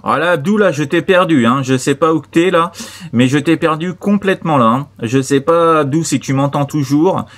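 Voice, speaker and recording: A man chatting casually as a CB radio operator, halting and repetitive, with many short verbal tags. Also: on the high side, fairly bright tone, a little hiss or hum. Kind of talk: casual radio contact chat.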